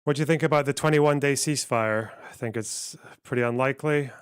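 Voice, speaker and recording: A man's voice: mid-range voice, fast, clear, resonant tone. A man giving blunt, wry, sweary commentary on news during a live stream.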